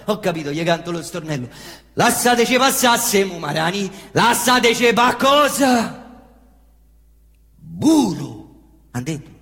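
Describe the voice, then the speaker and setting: A man's voice: sits high, unhurried, creaky, pauses often, big-room sound. Man acting a wild stage monologue. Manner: swings from hushed mutters to loud excited shouts, mimicking engine noises. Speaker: stage actor performing a monologue. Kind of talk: theatrical monologue in Roman dialect. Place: theatre stage.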